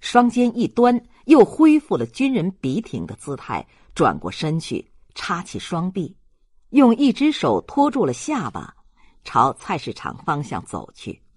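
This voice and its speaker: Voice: medium pitch, wildly swooping pitch, unhurried, a little mellow, husky, no room sound, clean studio sound. A narrator reading literary fiction aloud in a dramatic storytelling manner. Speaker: audiobook narrator.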